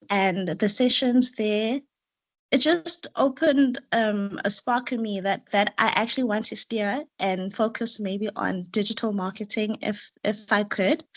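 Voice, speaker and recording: A woman speaks softly and gently, giving a short self-introduction pitch. Her voice sits at a middle pitch, and she comes through a video-call microphone. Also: rough recording. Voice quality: soft-spoken. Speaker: course participant. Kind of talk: spoken one-minute pitch.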